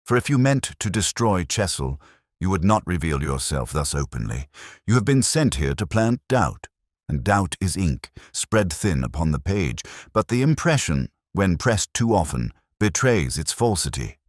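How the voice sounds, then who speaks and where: A man acts out a shrewd Victorian detective in an audiobook story. The voice is extremely deep and a little husky, with formal, commanding phrasing and varied intonation. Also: very animated, normal speed, no echo, clean studio sound. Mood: coolly incisive. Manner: formal, incisive, declarative. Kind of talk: dramatic audiobook character reading.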